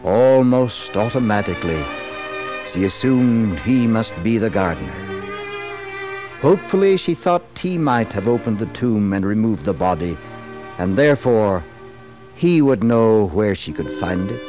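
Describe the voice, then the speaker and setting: Male American narrator, lower register, slow theatrical solemnity, vintage religious radio drama over music. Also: animated.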